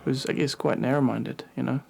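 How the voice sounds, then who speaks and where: A man's voice: medium pitch, fairly even intonation, brisk, creaky. A young man from Afghanistan, a university student talking earnestly and casually in a documentary interview. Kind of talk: documentary interview and street chat.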